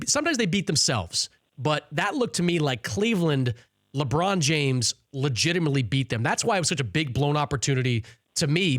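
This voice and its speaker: A man's voice: on the high side, a bit bright, dry recording, studio quality. A man hosting a sports radio show, putting questions and comments to a guest in a conversational interview style.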